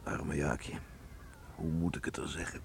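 An actor in a Dutch radio play, playing a guilt-ridden, stubborn village father, speaking in a solemn, halting, heavy-hearted way. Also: very deep voice, level tone, creaky, a little hiss or hum, exaggerated voice. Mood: grave sorrow.

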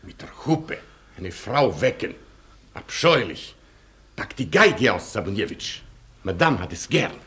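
An actor playing a proud, gallant Polish aristocrat colonel in a German radio play, with a Polish accent and an imperious, grandiloquent way of talking. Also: male voice, on the low side, big pitch swings, measured pace, a bit bright. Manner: grandiloquent, imperious, broken formal syntax.

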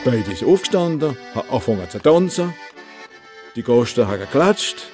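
A man's voice: medium pitch, very animated, moderate pace, music in the background. An older man from Alsace with an Alsatian accent, telling anecdotes as an interview guest. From Munster valley, Alsace. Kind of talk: anecdotal storytelling.

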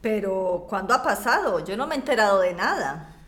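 A woman's voice: medium pitch, big pitch swings, a little fast, fairly bright tone. A woman playing a tolerant immigrant neighbour who stands up for herself politely but firmly in a radio drama. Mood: reserved. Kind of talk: acted community radio drama.

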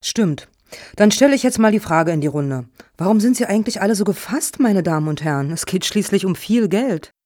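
A woman acting in a radio satire as a brisk, formal foundation juror with a businesslike, measured manner. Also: medium pitch, expressive, dark tone, dry recording, clean studio sound, lightly acted. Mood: probing, disappointed.

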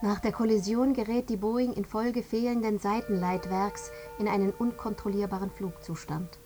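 An actress playing a precise, clinical reporter reading an air crash investigation in a radio drama. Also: female voice, medium pitch, steady tone, normal speed, over music, lightly acted. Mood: clinical, detached.

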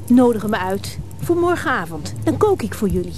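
A woman's voice: fairly high pitch, big pitch swings, moderate pace, a little mellow, a little hiss or hum. A woman playing an affectionate, teasing, self-willed middle-aged wife in a radio play, coaxing and playful. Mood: caring, prodding. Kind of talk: naturalistic radio play dialogue.

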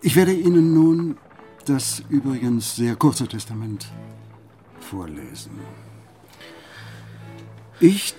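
An actor playing a formal, ceremonious notary who reads out a document in a radio play in a measured manner. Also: male voice, fairly low pitch, mellow.

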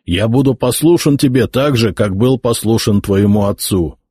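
Narrator plays a guarded old churchman: low husky voice, composed delivery, audiobook. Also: dry recording, clean studio sound. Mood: measured. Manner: calm, measured. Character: old male prince-bishop, calm and guarded. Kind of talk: audiobook character voicing.

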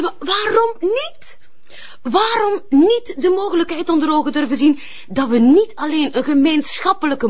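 Brief: a woman voicing a pushy Flemish sister, high-pitched, insistent radio-play delivery. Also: natural voice. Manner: scheming, insistent. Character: scheming grown sister, bent on an inheritance.

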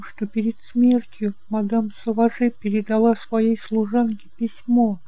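A woman's voice: a little high, dark tone, no echo, lightly acted, poor audio. A woman playing an anxious, devoted aunt pleading earnestly in an amateur audio drama.

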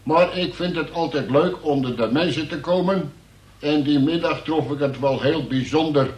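An actor playing a dutiful police sergeant who recites a statement in court in a formal, plodding radio-play manner. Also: male voice, on the high side, normal speed, natural voice. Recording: roomy, slightly distant radio recording.

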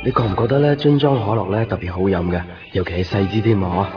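Actor as a wistful, low-voiced Hong Kong young man. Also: steady tone, fast, natural voice. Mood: tender, curious. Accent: Hong Kong Cantonese. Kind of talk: 1990s radio drama narration and dialogue. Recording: dull radio recording, prominent music behind.

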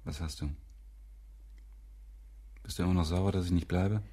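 An actor playing a weary, cynical lover in a radio drama, speaking in terse, resigned phrases. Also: male voice, sits low, steady tone, normal speed, mellow. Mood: weary, irritated.

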